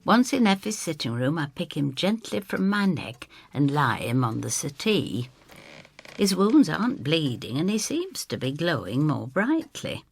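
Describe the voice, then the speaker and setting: An older woman reading scripted dramatic narration in the first person, measured, dropping into hushed asides. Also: low-pitched, gravelly, a little hiss or hum.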